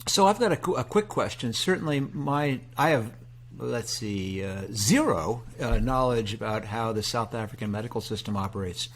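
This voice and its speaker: A man hosts a podcast interview with an easygoing, folksy delivery. His pitch sits in a middle range, and his questions are loose and friendly, with plenty of pauses and fillers. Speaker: podcast host. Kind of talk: conversational interview.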